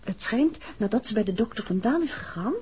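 A woman's voice: a little high, expressive, a little fast, mellow, slight background noise, natural voice. A woman playing a detective's inquisitive, chatty wife in a 1960s Dutch radio play, with an informal, confiding manner. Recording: muffled vintage 1960s radio recording.

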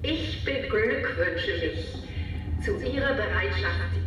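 An actress playing a grave female president giving a formal, measured political address in a radio drama. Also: mid-range voice, natural voice. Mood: solemn, warning. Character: solemn female US President addressing agency staff. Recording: roomy, distant, faint noise, echoing speech.

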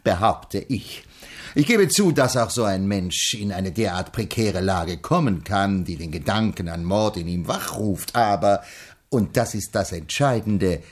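An actor playing an urbane, skeptical male publisher in a radio play, measured and wryly objecting. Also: on the low side, lively intonation. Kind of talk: German radio play dialogue.

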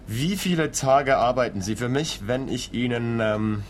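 A man's voice: mid-range voice, measured pace, a little hiss or hum, natural voice. An actor playing an earnest, worried man who is a detective's client in a radio drama, speaking a little hesitantly. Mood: earnest, bargaining. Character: earnest, worried male client, frugal ordinary working man.

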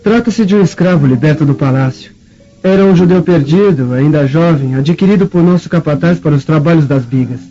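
An actor playing a respectful, earnest Roman patrician's son in a Brazilian radio drama, formal and deferential, with a Brazilian accent. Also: male voice, fairly high pitch, brisk, dark tone. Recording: muffled audio with faint music.

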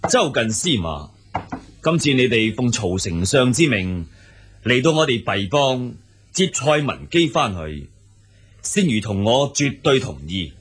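Guy doing a blunt scornful steppe noble, cantonese accent, husky, lively pitch, radio play style. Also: a little slow, exaggerated voice. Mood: frank, approving. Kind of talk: Cantonese audio drama acting.

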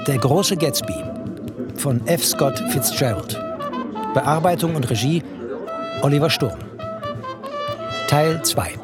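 A man's voice: on the high side, slow pace, pauses often. A man giving a formal scripted title and credits announcement for a radio play. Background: brass music.

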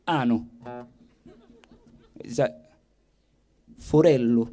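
A man with a Roman accent performing theatrical comic storytelling in an expressive, colloquial, declamatory way. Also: medium pitch, extremely slow. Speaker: stage performer, monologist and singer. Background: plucked guitar.

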